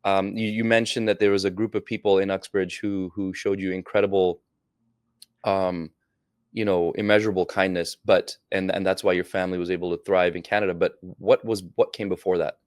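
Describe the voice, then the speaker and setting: Brief: low-pitched male host, dry close sound, short affirming reactions. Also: flat delivery, a little fast, no echo. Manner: brief encouraging backchannels. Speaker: podcast host. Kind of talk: interview.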